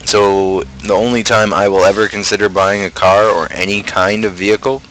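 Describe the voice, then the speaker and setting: Low-voiced young man ranting casually. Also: flat delivery, normal speed, fairly bright tone, slight background noise, poor audio. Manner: opinionated, ranting. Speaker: livestream host. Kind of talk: casual rambling livestream chat.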